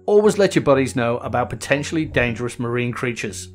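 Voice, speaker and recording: A middle-aged man narrates a diving lesson in a calm, even, explanatory voice at a medium pitch. He reads a script steadily, and soft music plays quietly behind him. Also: quick pace. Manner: calm explanatory, acts out signals as quoted lines. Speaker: host. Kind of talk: scripted voice-over instruction.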